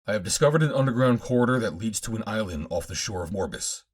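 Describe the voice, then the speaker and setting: A man voicing an earnest, resolute male adventure hero in an audiobook, firm, deliberate and declamatory. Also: lowish voice, lively intonation, husky. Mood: confident.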